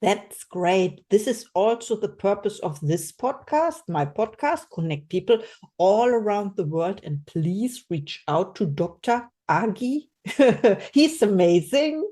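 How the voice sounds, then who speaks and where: A woman's voice: medium pitch, dark tone. A woman hosting a podcast interview, asking questions and praising her guest effusively. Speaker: podcast host.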